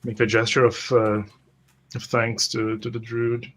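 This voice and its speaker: A male tabletop player with a medium-pitched voice and very little variation in tone. He describes what his character does and speaks its lines, hesitating as he searches for words. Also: little pitch movement, measured pace, a bit bright. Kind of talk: describing his character's actions in improvised play.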